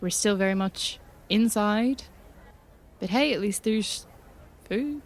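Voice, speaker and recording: A woman bantering casually as a player in an improvised tabletop game, playful and deadpan. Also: medium pitch, takes it slowly. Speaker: player in a tabletop roleplay podcast.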